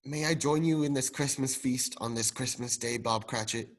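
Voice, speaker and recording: An elderly former miser, now overflowing with generosity and repentance as a male student plays him. The delivery is radio drama acting, in a medium-pitched voice. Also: moderate pace, natural voice. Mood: joyful, generous.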